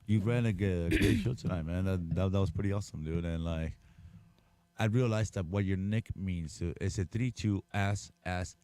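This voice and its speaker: Guy with a real low voice, rambling slangy karaoke chat, lots of stammers and swearing. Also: moderate pace, dark tone, dry recording. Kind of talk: casual chat.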